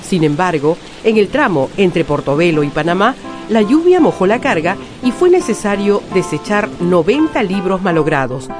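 A woman's voice: fairly low pitch, animated, normal speed, over music. A woman presenter reading a scripted, informative narration for radio.

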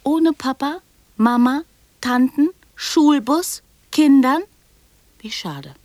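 An actress playing a naive, anxious, dreamy little girl in a radio drama, speaking slowly and plaintively with a breathy voice. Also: high-pitched, darkish tone, no echo. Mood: anxious, agitated. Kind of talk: poetic radio drama acting.

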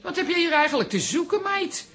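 A woman's voice: very high voice, sing-song, fairly quick, fairly bright tone, heavily acted. An actress playing a proud, stubborn older grandmother who gets flustered and repeats her words, in a radio drama. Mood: indignant.

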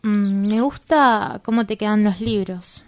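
A woman's voice: mid-range voice, measured pace, darkish tone, close to an everyday voice. A woman with an Argentine accent playing a coy, earnest young woman in love in a radio drama. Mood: tender, coy.

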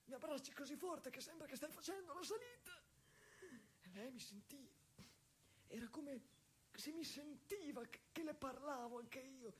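A woman playing a gentle, wistful husband confined in an asylum, in an intimate stage monologue with halting, simple, repetitive, emotive phrasing. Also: high-pitched, a little hiss or hum.

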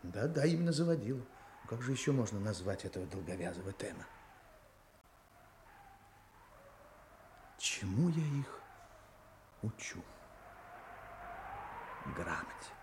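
An actor playing a bitter, dreamy village schoolteacher, musing and declaiming in 1960s radio drama style. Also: male voice, on the low side, expressive, moderate pace, pauses often, noisy background, close to an everyday voice. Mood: bitter, exasperated. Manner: declamatory, musing, repeats phrases to himself.

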